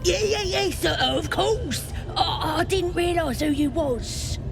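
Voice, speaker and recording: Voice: very high voice, animated, takes it slowly, gravelly, background noise, heavily acted. An actor playing a shifty, dim goblin guard in a game, slangy and sneering. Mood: flustered, cowed. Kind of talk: game character acting.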